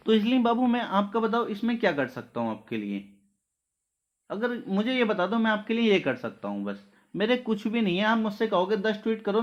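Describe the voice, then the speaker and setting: Guy teaching online, pretty high voice, earnest n reassuring, keeps checking in, muffled lo-fi sound. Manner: repeatedly checks in with short tag questions. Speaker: online exam-coaching teacher. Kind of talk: informal address to students. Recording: dull, lo-fi audio.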